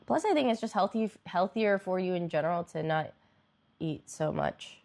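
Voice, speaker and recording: Stuffy nosed young woman streaming, rambly casual chat, kinda low voice. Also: expressive, moderate pace, darkish tone. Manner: rambling, lots of fillers. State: congested, nasal.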